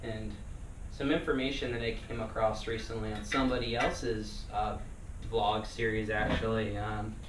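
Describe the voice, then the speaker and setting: A man talking casually in a personal vlog, rambling with many fillers and restarts, his voice still getting over hoarseness. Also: on the low side, level tone, moderate pace. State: recovering from lost voice. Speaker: vlogger. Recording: echoey room, mic at a distance, noisy.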